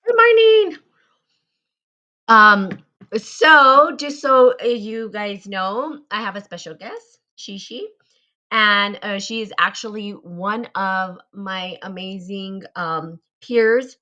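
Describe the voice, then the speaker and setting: A woman's voice: medium pitch, lively intonation, slow, pushed and bright, muffled recording. A woman hosting a casual live online show, introducing a guest in an upbeat, enthusiastic way.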